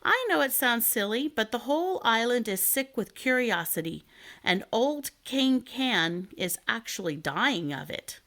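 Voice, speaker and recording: A woman's voice: on the high side, animated, unhurried, a bit bright, voice pushed up. A woman reading a children's storybook as a chatty, worried little canary, polite and talkative. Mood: confiding. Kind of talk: children's storybook read aloud.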